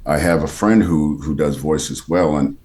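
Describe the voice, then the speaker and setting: A man with an extremely low voice and a rich, bass-heavy texture talks casually as an interview guest. He stops and restarts often, trailing off quieter mid-thought. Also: steady tone, a little hiss or hum. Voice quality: deep, textured timbre with underlying bass. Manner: halting, many restarts and fillers, drops quieter mid-thought. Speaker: actor and voice actor guest.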